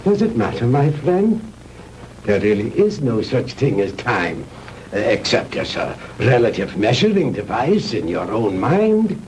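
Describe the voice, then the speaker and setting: An actor playing a proud, fanatical mad scientist with a German accent, courteous and condescending, in 1960s B-movie style. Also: male voice, medium pitch, very animated, a little mellow. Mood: smugly soothing. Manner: grandiose, condescending, deliberate. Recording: noisy old 1960s film soundtrack.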